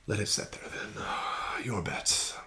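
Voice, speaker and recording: A man doing an ASMR roleplay as a casino card dealer, talking slowly and softly with smug, teasing patter that slips into whispers. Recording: audible background noise.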